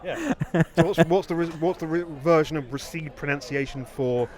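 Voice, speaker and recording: A man's voice: fairly high pitch, expressive, normal speed. A man hosting a podcast in rambling, bantering casual chat. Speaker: podcast host.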